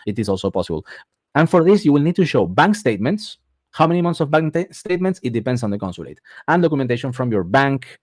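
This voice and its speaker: Argentine guy running a webinar, mid pitch, dead dry mic, keeps saying okay?. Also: a little mellow, no echo. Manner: repeats words for emphasis, frequent "okay?" check-ins. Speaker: immigration advisor presenting a webinar. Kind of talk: explanatory webinar presentation.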